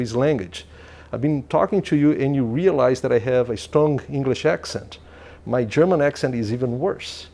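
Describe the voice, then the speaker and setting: A man's voice: fairly high pitch, darkish tone. A man giving an academic lecture in a lecture hall, speaking, often restarting his sentences and checking in with the audience with "okay?". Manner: thinking aloud, frequent restarts and "okay?" checks.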